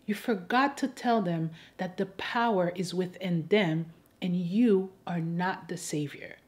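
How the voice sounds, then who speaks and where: A woman from Haiti delivering scripted persuasive commentary to an audience, in a pointed, wry and deliberate rhetorical way. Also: on the low side. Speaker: host of an advocacy video.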